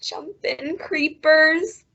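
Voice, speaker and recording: A woman acts a complaining, squeamish teenage daughter in a vintage radio comedy. She speaks high and slowly, on a slightly dull recording. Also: vocal fry, sharp tone, full character voice. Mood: disgusted. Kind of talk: old-time radio family comedy acting.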